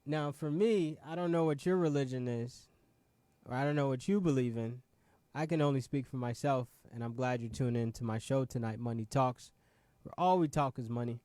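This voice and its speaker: A man's voice: medium pitch, moderate pace, darkish tone, dry recording. A man in his late twenties, originally from Ghana, hosting his own show and giving a motivational talk, pressing viewers with repeated rhetorical questions and frequent "right?" asides. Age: 20s. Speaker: show host.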